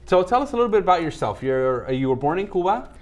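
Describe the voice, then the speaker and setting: A man's voice: sits high, big pitch swings, fast talker. A man hosting a TV interview, friendly and hesitant with many fillers. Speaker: TV host.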